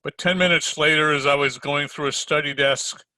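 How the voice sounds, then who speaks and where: A man's voice: on the high side, steady tone, moderate pace, pushed and bright, dry recording, rough recording. A man playing a wisecracking, unflappable hardboiled private detective in an old-time radio drama style, wry and tough-talking. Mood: narrating. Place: home recording over video call.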